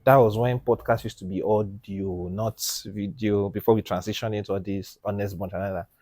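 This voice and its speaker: A man co-hosting a casual podcast discussion. He talks in an animated, emphatic way, keeps asking "do you understand?", and drops to a low, quiet voice when he presses a point. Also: a little fast. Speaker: podcast co-host. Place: podcast studio.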